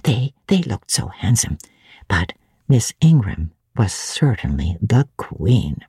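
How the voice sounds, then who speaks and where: A woman voicing an elderly, genteel housekeeper in a classic audiobook, chatty and admiring as she savours each detail in a measured way. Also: rumbling low, big pitch swings, dark tone, breathy edge, dry recording, studio quality, voice pushed down, exaggerated voice. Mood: fondly admiring. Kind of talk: dramatic audiobook reading of classic literature.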